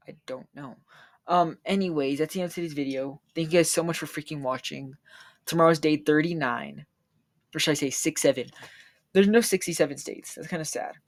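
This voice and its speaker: Man, true crime narration, gravelly fry, dry close sound, getting sick. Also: a little high, dry recording. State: feels he is losing his voice and getting sick. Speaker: true crime video creator. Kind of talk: read true crime narration with a casual outro.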